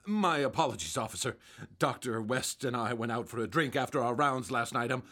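An actor playing an earnest, decent young doctor in a period radio drama, speaking gently. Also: male voice, medium pitch, a little fast. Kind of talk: 1930s radio drama acting.

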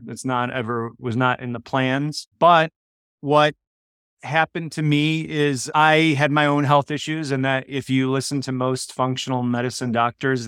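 Smooth-voiced man telling his own story. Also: medium pitch, no room sound, clean studio sound. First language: Polish. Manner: relaxed, candid storytelling. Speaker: podcast guest, doctor. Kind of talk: casual interview answers.

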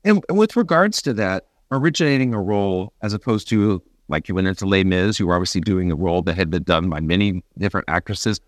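A man's voice: deep voice, animated, fast, few pauses, no echo, studio quality. A man conducting a conversational interview, asking questions and chiming in with casual remarks.

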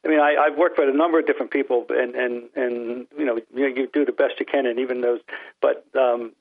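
A man's voice: medium pitch, steady tone, a little fast, vocal fry, no room sound. Older new england guy on a tinny phone line, rambles, keeps restarting sentences, dry sound. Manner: rambling, many restarts and repeated words. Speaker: guest sportswriter. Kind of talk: casual podcast interview.

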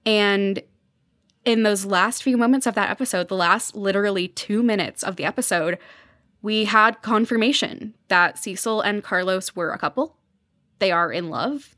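A woman with a mid-pitched voice talks as a guest on a podcast, recorded very dry. She comments earnestly and conversationally, pausing to find her phrasing as she goes. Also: dry recording.

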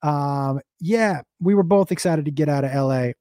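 A man's voice: on the high side, dark tone, no echo, studio quality. Scratchy-voiced man hosting a chat. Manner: rambling, halting, many restarts. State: hoarse, losing his voice. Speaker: host. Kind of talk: casual podcast chat.

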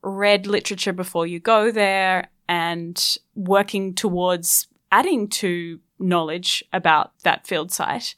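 A woman's voice: fairly low pitch, takes it slowly, few pauses, fairly bright tone, no echo. Probing woman, dry close sound. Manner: questioning, probing. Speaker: podcast co-host. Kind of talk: casual academic discussion.

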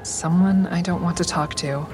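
An actress as a guarded woman in a fiction podcast, speaking low over prominent music. She turns inward as she narrates, wry and understated, and keeps the same careful tone in dialogue. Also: steady tone, moderate pace, lightly acted. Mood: careful, reassuring. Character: guarded woman, a stand-up comic and crisis-line volunteer, narrating. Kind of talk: first-person audio drama narration and dialogue.

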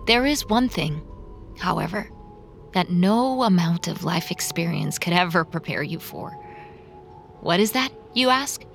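Low-voiced, bitter, brooding woman. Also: animated, unhurried, creaky, a bit bright, soft background music, full character voice. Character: troubled, bitter woman narrating her unravelling, curt and guarded. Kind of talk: audio drama monologue and dialogue.

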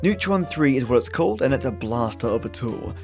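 A man's voice: medium pitch, lively intonation, brisk. A man with an Australian accent hosting a podcast, chatty and scripted, with an effusive, chipper manner. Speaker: podcast host. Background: guitar music.

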